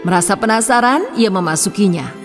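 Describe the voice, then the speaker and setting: A woman's voice: medium pitch, expressive, a little fast, long reverb tail, over music. A woman narrating a scripted fairy tale for children as storyteller.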